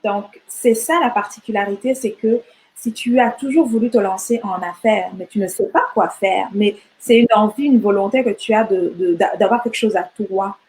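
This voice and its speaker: A middle-aged woman from Rwanda talks as an interview guest in a relaxed, conversational way. Her delivery is animated, with frequent restarts and repeated words as she searches for what to say next. Her pitch sits in an ordinary middle range. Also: moderate pace, rough recording. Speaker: guest entrepreneur. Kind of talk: casual interview chat.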